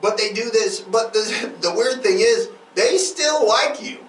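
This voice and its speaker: A man's voice: high-pitched, lively intonation, unhurried. A man co-hosting a casual true-crime podcast, rambling along and repeating himself for emphasis. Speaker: podcast co-host. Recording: roomy, distant mic, faint noise.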